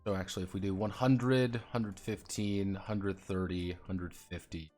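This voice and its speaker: A man's voice: deep voice, fairly even intonation, unhurried, a little mellow, soft background music. A man streaming as he designs a tabletop game, explaining rules casually and muttering to himself while he works out the numbers. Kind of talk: casual thinking-aloud explanation.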